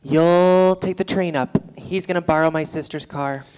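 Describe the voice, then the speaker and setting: A woman's voice: sits low, fairly even intonation, normal speed, darkish tone, clean tone, natural voice. A woman playing a blunt, practical photographer in a staged play reading, speaking in a dry, matter-of-fact way. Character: blunt, practical, exasperated female photographer and fixer. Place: live on a theatre stage. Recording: reverberant theatre hall.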